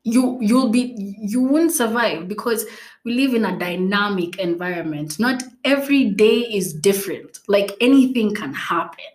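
A woman's voice: mid-range voice, animated, normal speed. A young woman whose native language is Swahili, hosting a casual solo podcast, chatty and playful with frequent laughs, fillers and restarts. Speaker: podcast host.

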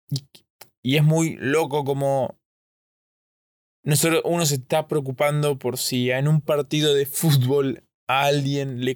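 Halting Argentine man, casual podcaster. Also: medium pitch, no echo, studio quality. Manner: halting, self-correcting, thinking aloud. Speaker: podcast host. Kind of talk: casual rambling solo podcast monologue.

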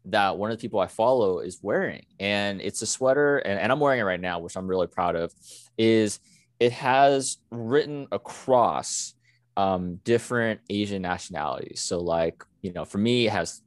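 A man's voice: on the low side, no room sound. A man from the United States co-hosting a casual podcast, talking in an earnest, reflective way with many "you know" fillers. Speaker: podcast co-host.

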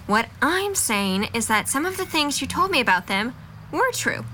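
A woman playing a composed, supportive young friend who talks candidly in a measured way, in an audio drama. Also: high voice, very animated, bright, forceful tone, a little hiss or hum, full character voice. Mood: frank, reassuring.

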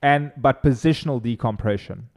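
A man's voice: mid-range voice, normal speed, a little mellow. A man whose first language is Afrikaans, a chiropractor guest explaining his methods eagerly in a casual podcast interview.

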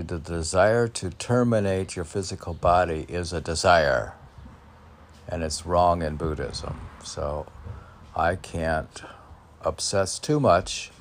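A man informally reading his poems and commenting on them in a halting, musing way, as if talking to himself. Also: low-pitched, slight background noise. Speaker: poet reading and commenting on own poems for a podcast. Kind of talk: informal poetry reading with rambling self-reflective commentary.

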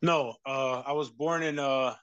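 A man's voice: mid-range voice, steady tone, unhurried, fairly bright tone, clear, resonant tone, dry recording, lo-fi sound. A man from the United States, talking casually as an interview guest, rambling with many fillers and restarts. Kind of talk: casual conversation.

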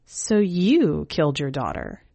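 A woman reader plays a sly female skeleton spirit from a storybook, seductive and faintly menacing. The voice is low and slow, with a hushed, coaxing manner. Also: huge pitch swings, vocal fry, no echo, full character voice. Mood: probing, menacing.

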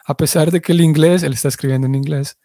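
A man teaching a devotional class, his voice pitched a little high but warm and mellow. He explains at length, backing up and correcting himself mid-sentence. The sound is dry and close. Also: fairly even intonation, fast, no room sound. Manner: explanatory, restarts and self-corrections. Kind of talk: religious class.

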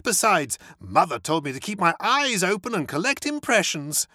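A reader voicing a giddy young male aristocrat, breathless and exuberant, in a high, chirpy voice. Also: big pitch swings, moderate pace, pushed and bright, dry recording, clean studio sound.

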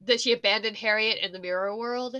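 Woman co-host, congested with allergies, bright tense tone, loose casual chat. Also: a little high, steady tone, a little fast. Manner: chatty, trailing off mid-thought, swears casually. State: stuffy from allergies. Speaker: podcast co-host. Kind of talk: casual chat recapping a show. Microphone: remote call microphone.

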